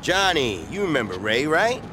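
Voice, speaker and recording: A man's voice: on the high side, big pitch swings, moderate pace. An actor playing a plain-spoken, loyal male biker lieutenant in a video game cutscene. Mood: matter-of-fact. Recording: noisy outdoor vehicle background.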